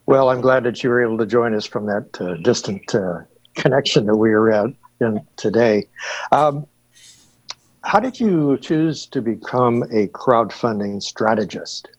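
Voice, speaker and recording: A man hosting an interview show, introducing his guest in a formal, deliberate way with many uhs. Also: mid-range voice, dry recording. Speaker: radio show host. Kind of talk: hosted interview. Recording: dull, dry, lo-fi audio.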